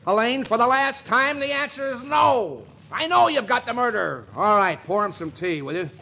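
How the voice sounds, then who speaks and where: Brief: a fed-up lawyer sleuth, bright voice, leaping pitch, old-time radio comedy. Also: male voice, moderate pace, vocal fry, a little hiss or hum, full character voice. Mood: exasperated. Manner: excitable, sputtering, sarcastic. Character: exasperated male criminal lawyer turned detective. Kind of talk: 1940s radio comedy acting.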